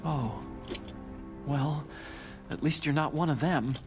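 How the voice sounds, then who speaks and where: A man's voice: on the high side, slow. An actor playing a nervous, naive, guilt-ridden American scientist in a dramatic video game cutscene, earnest and anxious as he explains. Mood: timid, wary. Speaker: chief engineer character in a video game. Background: music.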